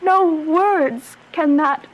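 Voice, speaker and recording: A woman playing an anguished, lovestruck young noble bride in a radio Shakespeare tragedy, with impassioned, drawn-out verse delivery. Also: extremely high pitch, heavily acted, low-quality recording. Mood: despairing.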